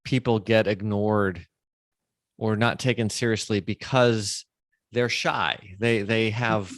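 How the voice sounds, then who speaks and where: A man's voice: on the low side, fairly even intonation, takes it slowly, dry recording, studio quality. A man hosting a podcast, interviewing conversationally and thinking aloud as he talks. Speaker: podcast host.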